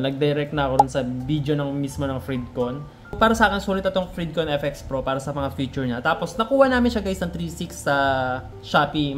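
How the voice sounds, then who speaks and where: A Filipino man talking casually to viewers in a conversational product review vlog, as a motovlogger. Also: on the high side. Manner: conversational Taglish, addressing viewers.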